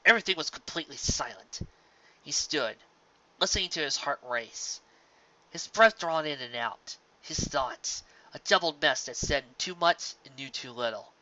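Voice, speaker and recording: A man narrating scripted fiction in a dramatic, emphatic storytelling manner that moves between loud and hushed phrases. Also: a little high, animated, moderate pace, muffled recording, slight background noise.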